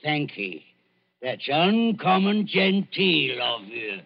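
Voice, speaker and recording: A surly, gravelly body snatcher, mocking and threatening. The voice is husky and a little high, in 1940s radio acting on a muffled old recording. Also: male voice, sing-song, slow, exaggerated voice. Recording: dull vintage radio recording.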